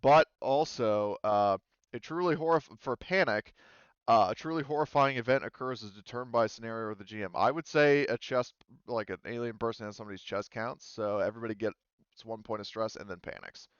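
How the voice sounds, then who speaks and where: A man's voice: medium pitch, brisk, clear, resonant tone, dry recording, dull audio. A man running a tabletop game as game master, rambling along with lots of fillers and self-corrections.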